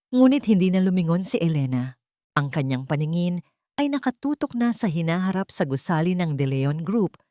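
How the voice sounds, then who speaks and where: A Filipino woman tells a scripted story with measured drama. Her voice is soft-edged and warm in tone, and her pitch moves expressively across phrases. The sound is very dry, with no room around it. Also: no room sound.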